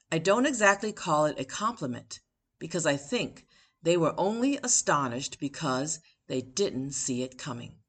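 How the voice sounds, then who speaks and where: A woman with a notably low-pitched voice reads her own personal essay aloud. She takes it at a measured, deliberate pace, pausing for emphasis between phrases. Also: breathy edge. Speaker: author and podcast host.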